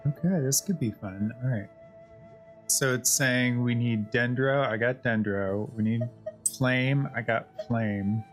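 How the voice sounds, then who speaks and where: A man's voice: mid-range voice, slow pace. A man streams a video game with casual, chatty commentary. His voice sits in a middle register, and he encourages his viewers, often repeating himself. Light music plays underneath. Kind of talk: casual live gaming commentary.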